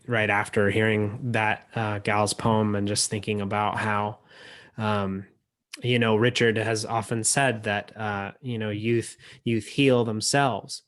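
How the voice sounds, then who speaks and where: A man hosting a poetry reading over a video call, speaking in a halting, reflective way. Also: fairly low pitch, flat delivery, fairly bright tone, clear, resonant tone. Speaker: emcee and editor.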